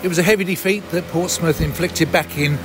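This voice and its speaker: A man interviewing a football manager after the match, asking short, measured questions. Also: fairly high pitch, fairly even intonation, background noise. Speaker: club interviewer.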